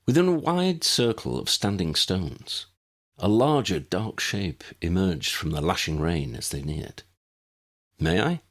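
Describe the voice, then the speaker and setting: A man reading a story aloud in an expressive narrating style, with a gravelly, husky voice. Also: low-pitched, unhurried. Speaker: audiobook narrator.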